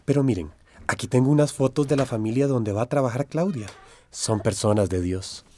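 A man acting as a pious, scheming pastor. His voice is mid-pitched and mellow, persuasively reassuring, over faint background noise in a radio drama. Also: fairly quick, natural voice. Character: smooth-talking, pious pastor who deceives families.

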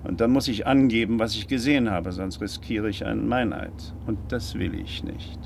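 An actor in a German radio drama playing a cautious, methodical handyman, formally worded and deliberate. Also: lowish voice, vocal fry, a little hiss or hum. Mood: firm, resolute. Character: Floyd, male handyman drawn into crime, cautious, methodical.

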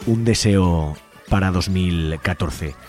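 Male radio host, Spain Spanish, lowish voice, informal and conversational, faint music underneath. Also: slow pace. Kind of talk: informal community radio talk.

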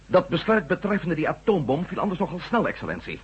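An actor playing a sceptical, persistent former astronaut turned reporter in a radio drama, polite but challenging. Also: male voice, on the high side, very animated, quick pace, breathy edge, highs cut off, heavily acted. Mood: insistent, challenging.

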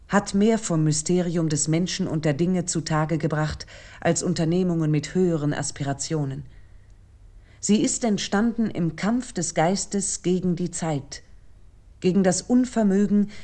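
Female radio play narrator, played by an actress: deep, dark voice, solemn and measured literary delivery. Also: natural voice. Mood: solemn, reflective.

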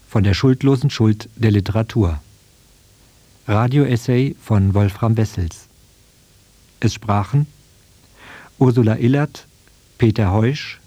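A man's voice: medium pitch, mellow, breathy edge, no echo. A man announcing a radio programme and its credits from a script.

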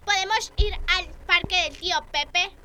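Very high, bossy child's voice, noisy recording. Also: female voice, normal speed, bright, forceful tone. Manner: bossy, emphatic, play-acting. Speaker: drama student. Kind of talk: improvised amateur radio play. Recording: noisy amateur recording with clicks.

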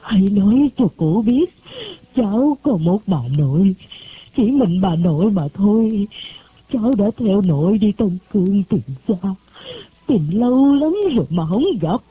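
A woman's voice: medium pitch, animated, unhurried, breathy edge. A woman voicing a sick, anxious elderly grandmother who gives insistent, urgent instructions in a storybook reading. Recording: tinny phone-like recording.

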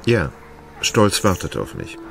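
An actor playing an idle, lovesick gentleman in a radio play, anxious and tender, speaking haltingly and evasively. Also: sits low, big pitch swings, vocal fry, soft background music, full character voice. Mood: awkward, hesitant. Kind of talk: radio drama acting.

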